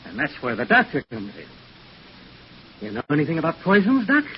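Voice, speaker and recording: An actor playing a menacing, bossy American gangster boss who talks tough underworld slang in a 1940s radio thriller. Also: male voice, fairly high pitch, wildly swooping pitch, moderate pace. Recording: muffled, noisy 1940s radio recording.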